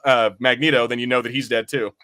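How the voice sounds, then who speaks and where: A man's voice: medium pitch, fairly even intonation, fast talker, pushed and bright, clean tone. A man co-hosting a podcast, explaining things in a rambling, informal, sweary way during casual chat. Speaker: podcast co-host.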